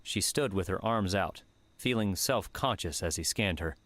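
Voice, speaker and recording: A man narrating an audiobook in a measured, steady reading manner. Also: sits low, no echo. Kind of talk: scripted narration.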